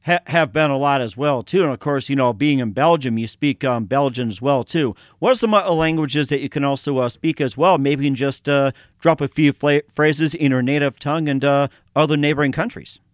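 A man's voice: medium pitch, brisk, hardly any pauses, no room sound, clean studio sound. A man hosting a radio interview show. He rambles and keeps adding "as well, too". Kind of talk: casual interview chat.

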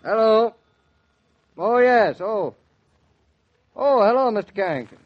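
An actor playing a patient, affable young husband with an American accent in a 1940s radio drama. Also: male voice, high-pitched, expressive, slow pace. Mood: polite, flustered. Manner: explanatory, hesitant, conversational. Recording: dull, lo-fi 1940s radio recording.

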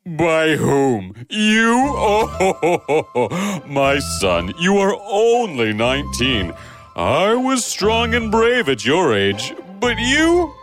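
A man playing a scornful king and father in a children's bedtime story, with a mocking, theatrical, drawn-out delivery. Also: a little high, huge pitch swings, fairly bright tone, faint music behind, exaggerated voice.

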